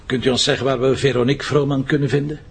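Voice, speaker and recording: A man's voice: mid-range voice, little pitch movement, fast talker, creaky, close to an everyday voice. A man with a Flemish accent playing a wry, grumbling police commissioner with dry delivery in an audio drama. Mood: official, brisk. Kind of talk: naturalistic audio drama dialogue. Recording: faint background noise.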